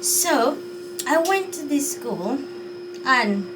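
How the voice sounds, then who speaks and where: Jamaican woman, vlogger, unhurried, sighing and musing, distant mic, noisy background. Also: sits high, expressive. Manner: chatty, musing and unsure, with sighs. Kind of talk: casual talk to camera.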